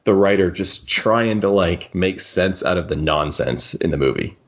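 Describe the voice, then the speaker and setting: A man's voice: deep voice, expressive, normal speed. A man co-hosting a podcast in casual conversation, with wry humor, on slightly muffled audio. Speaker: podcast co-host. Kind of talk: casual chat.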